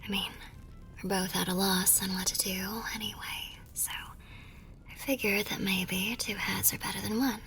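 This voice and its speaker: A woman playing a tender, teasing young war nurse and sweetheart in an intimate ASMR romance roleplay, murmuring softly and breathily. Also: mid-range voice, steady tone, a little slow, faint music behind. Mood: hopeful, tender. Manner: soft, breathy, affectionate murmuring.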